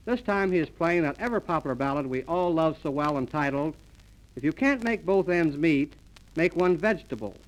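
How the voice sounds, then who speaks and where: A man's voice: a little high, expressive, normal speed, rough recording. A man hosting a 1930s radio comedy with mock-formal announcer patter. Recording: old 1930s transcription disc, music.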